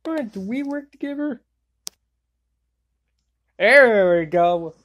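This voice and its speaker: A woman voicing an excitable, wisecracking girl in an amateur anime comedy fandub, full of exclamations. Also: a little high, slow pace, lo-fi sound. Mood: alarmed. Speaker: fan dub voice actor. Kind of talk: amateur cartoon fandub line readings.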